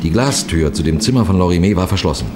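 Seasoned detective, deep voice, measured, formal, composed, 1960s radio crime drama storytelling. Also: male voice, animated, background noise. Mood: grave, suspenseful. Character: seasoned male police detective telling his cases, composed, authoritative. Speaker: narrator.

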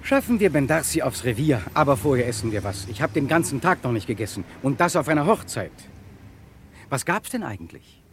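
An actor playing a composed, wry police detective in a 1960s German radio crime drama. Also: male voice, medium pitch, big pitch swings, brisk. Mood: calm, wry. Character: avuncular, composed male police detective lead. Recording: faintly noisy 1960s radio recording.

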